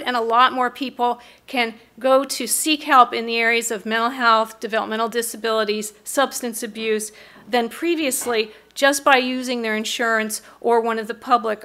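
A middle-aged woman with an American accent gives an explanatory prepared presentation at a podium microphone, pausing hesitantly at times. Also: a little high, fairly even intonation, bright, forceful tone. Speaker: mental health board member presenting to city council. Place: large council meeting room, indoors.

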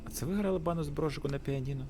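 A man's voice: a little high, rapid-fire, mellow, slight background noise, pitched above the performer's own voice, natural voice. A man voicing a bold, inquisitive girl from a poor family in a visual novel. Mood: curious.

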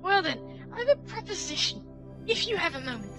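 A man reading game dialogue in a high, sing-song falsetto as an earnest, cheerful female knight who worships the sun, speaking grandly and confidently. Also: sing-song, a little fast, over music. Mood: cheery, grand. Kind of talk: reading a game's dialogue aloud. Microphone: headset.

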